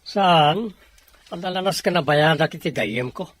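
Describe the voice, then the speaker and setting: A woman's voice: deep voice, a little slow. A woman playing an impatient, pleading young borrower in a Filipino radio drama. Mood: pushy, pleading. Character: pushy, indebted young person, impatient and pleading.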